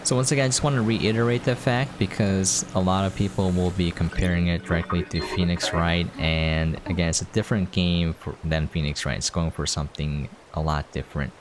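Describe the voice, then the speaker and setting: Male game commentator, low register, conversational and informal, faint background noise. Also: steady tone, fairly quick, few pauses. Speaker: game reviewer and player, host. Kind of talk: casual gameplay commentary.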